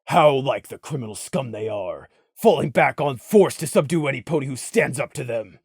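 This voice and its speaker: A man's voice: medium pitch, animated, normal speed, a little mellow, breathy edge, no echo, studio quality. A man voicing a self-righteous, defiant unicorn stallion guard captain in a dramatic audio story, declaiming with open contempt. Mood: contemptuous.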